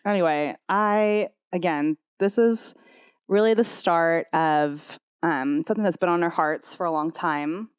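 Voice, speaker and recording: Woman co-host, bubbly and rambling, slightly low voice, dry close-miked sound. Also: measured pace, clear, resonant tone, no room sound. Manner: bubbly, rambling, lots of fillers. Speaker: podcast co-host. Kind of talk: casual chat. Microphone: podcast microphone.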